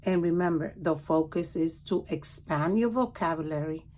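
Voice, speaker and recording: A woman reading a picture book aloud to children in an expressive, deliberate way, pausing to explain words and point things out. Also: sits low. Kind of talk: animated children's read-aloud with commentary asides.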